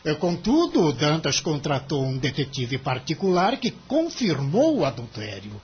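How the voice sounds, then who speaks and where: A Brazilian actor playing a cynical, money-minded senior lawyer in an audio drama, emphatic and condescending. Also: male voice, on the high side, wildly swooping pitch, moderate pace, lo-fi sound, a little hiss or hum. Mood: grave, gossipy. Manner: emphatic, condescending, theatrical delivery.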